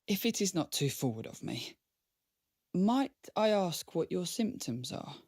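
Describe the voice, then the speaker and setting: An actor playing an earnest, deferential merchant in a radio drama, husky, with formal courtly speech and an anguished streak. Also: male voice, high voice, big pitch swings, measured pace, a little mellow, full character voice. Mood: grave, gentle.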